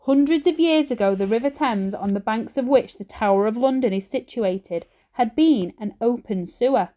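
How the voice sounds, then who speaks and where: Higher-pitched woman reading a children's story. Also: moderate pace, dark tone. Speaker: primary teacher reading aloud. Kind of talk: expressive children's story read-aloud.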